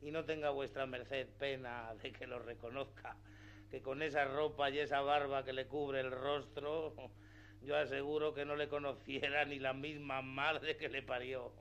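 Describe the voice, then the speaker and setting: An actor playing a loyal, eager peasant squire in a radio play, speaking in a folksy, deferential, reassuring way. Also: male voice, mid-range voice, flat delivery, moderate pace, fairly bright tone, a little hiss or hum, natural voice.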